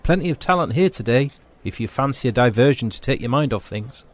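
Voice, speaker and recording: A man's voice: medium pitch, lively intonation, a little fast, lightly acted. A man playing a loyal, laddish footballer mate in an audio drama, talking in colloquial, matey British English. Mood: cheeky. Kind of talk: full-cast sci-fi audio drama.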